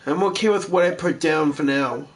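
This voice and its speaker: High-voiced man doing game walkthrough commentary. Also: moderate pace, a little hiss or hum. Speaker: gameplay walkthrough host. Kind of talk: reading game text aloud, casual let's play commentary.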